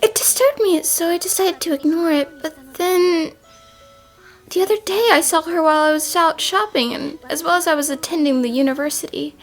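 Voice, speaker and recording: A woman voicing a gentle, troubled young female student, polite and hesitant, in a cartoon dub. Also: very high voice, normal speed, soft background music. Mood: uneasy. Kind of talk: animated series fan dub.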